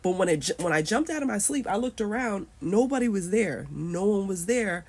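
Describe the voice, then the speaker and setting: Woman, 30s, candid storyteller, casual swearing, mid-pitched. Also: expressive, fairly quick, darkish tone. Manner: candid, swears freely, emotional retelling. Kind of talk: personal story told to camera.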